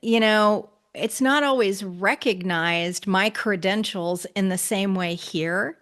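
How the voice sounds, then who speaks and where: A woman's voice: medium pitch, takes it slowly, a bit bright. A woman from the United States hosting a podcast interview, speaking reflectively with frequent fillers and agreeable backchannels.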